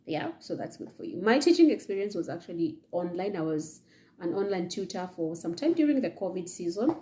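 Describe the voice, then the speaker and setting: A woman's voice: on the low side, sing-song, brisk, dark tone, a little hiss or hum. A young Kenyan woman with a Kenyan accent talking to camera indoors, giving chatty advice and often checking in with "yeah?" and "okay?". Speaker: vlogger. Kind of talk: casual advice talk to camera. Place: indoor room, seated in front of leather chair.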